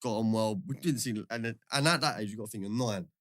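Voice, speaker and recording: A man's voice: on the low side, fast talker, fairly bright tone, no room sound, clean studio sound. A young man, telling stories from his football career in a casual podcast chat, slangy and laughing often. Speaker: guest, former footballer.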